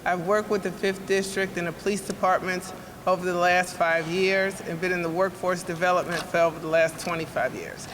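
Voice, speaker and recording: A woman's voice: on the low side, steady tone, brisk, a bit bright. A woman in workforce development giving a proud, sincere statement as a new commission member. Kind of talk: formal public statement. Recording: echoey hall with noise.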